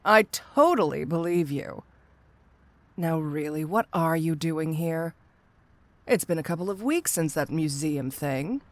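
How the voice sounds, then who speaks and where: A woman's voice: low voice, expressive, unhurried, no room sound. A woman playing a flirty, dangerous cat burglar supervillain in an audio roleplay, teasing and sardonic with amused asides. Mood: suspicious, challenging. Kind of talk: scripted audio roleplay acting addressed to the listener.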